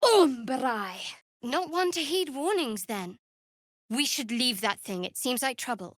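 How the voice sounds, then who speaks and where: A guarded cleric from a fantasy video game. Her voice sits a little high for a woman and is recorded very dry, with no room around it. She speaks in a measured, wry way with a faint sardonic edge. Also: animated, no room sound. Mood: casting spells, then cool, distrustful.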